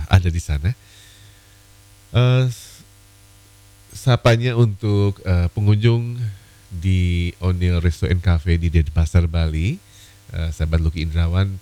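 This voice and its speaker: A man hosting an evening radio request show, a little hoarse from a cold, chatting casually with many fillers. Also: deep voice, warm tone. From Indonesia.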